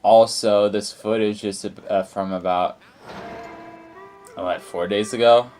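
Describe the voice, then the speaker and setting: Young man with a low, croaky morning voice. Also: fairly even intonation, slow, a little mellow, faint music behind. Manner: rambling, many fillers and restarts. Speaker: gaming channel host. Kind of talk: casual let's play commentary.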